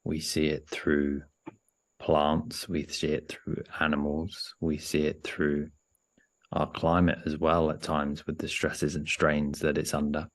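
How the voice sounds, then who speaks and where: A man with an extremely low voice guides a meditation. His intonation stays very level and even. He speaks gently and without hurry, leaving frequent pauses partway through his sentences. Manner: gentle, unhurried, pausing often mid-sentence.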